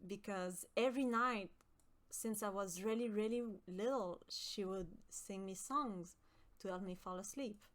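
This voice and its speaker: A young woman from with a high voice, chatting with her stream viewers and often pausing with fillers. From Quebec. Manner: hesitant, many fillers and restarts. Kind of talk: casual chat with stream viewers.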